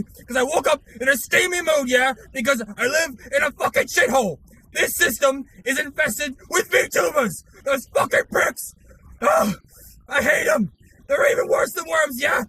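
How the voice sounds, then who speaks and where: A man's voice: very high voice, bright, forceful tone, full character voice, rough recording. A man doing a screaming, furious ranter. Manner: screaming, swearing tirade. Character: furious ranting man, hateful and violent.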